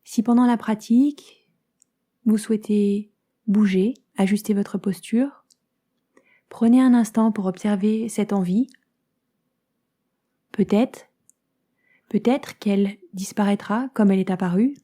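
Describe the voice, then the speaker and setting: A woman guides a yoga nidra meditation as a teacher, calm and soothing, in soft phrases that turn breathy at the ends, with many pauses. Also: medium pitch. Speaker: yoga nidra teacher guiding a relaxation session.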